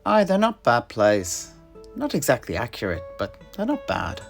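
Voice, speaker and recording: A man's voice: mid-range voice, wildly swooping pitch, normal speed, gravelly. A man playing an earthy, chatty alchemist and druid in a fantasy audio drama, telling things in a rambling, rustic way. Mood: storytelling. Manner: folksy, rambling storyteller with rustic dialect turns. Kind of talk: in-character fantasy audio roleplay. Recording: music behind the voice.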